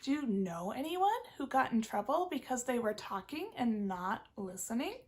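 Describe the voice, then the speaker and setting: Female read-aloud teacher: high, lively, expressive, addresses children directly with questions. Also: moderate pace. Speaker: teacher reading a picture book aloud.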